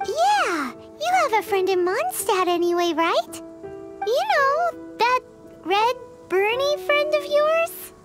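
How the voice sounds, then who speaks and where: Game sidekick: tiny childlike fairy, very squeaky, chirpy, animated intonation. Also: female voice, takes it slowly, over loud music, exaggerated voice. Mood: cheerful, coaxing. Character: tiny, chirpy floating fairy companion girl. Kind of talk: cartoonish video game voice acting.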